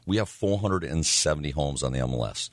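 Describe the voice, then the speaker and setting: Very deep raspy man. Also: animated, fairly quick, no room sound. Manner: rambling, with many fillers. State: hoarse from yelling. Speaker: real estate agent. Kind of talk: casual radio talk.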